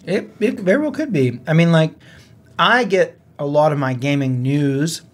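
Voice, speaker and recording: Higher-voiced man chatting on a podcast. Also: lively intonation, moderate pace. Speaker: podcast co-host. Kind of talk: casual gaming podcast chat.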